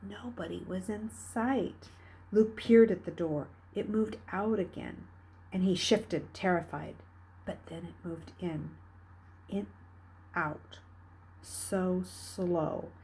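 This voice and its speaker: A woman reading a novel aloud as a storyteller, with dramatic, expressive delivery. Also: fairly low pitch, slow, a little hiss or hum, low-quality recording. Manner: dramatic, expressive, with hushed dips and emphatic rises. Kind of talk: read-aloud storytelling narration.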